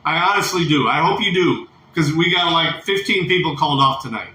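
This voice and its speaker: A man argues over the phone as a shift supervisor, his high voice piercingly bright. He lectures and cuts in, sounding irritated. The recording is roomy with faint noise underneath. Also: expressive, a little fast. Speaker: work supervisor taking call-offs. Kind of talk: heated phone argument.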